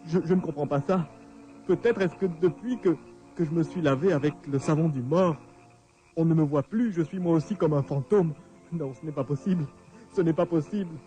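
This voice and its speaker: Man acting a high-pitched frightened, desperate fugitive. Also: moderate pace, warm tone, soft background music, close to an everyday voice. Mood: bewildered despair. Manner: pleading, stammering, frantic repetition. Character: frightened, desperate hunted man stranded on a night road. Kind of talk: French radio drama acting.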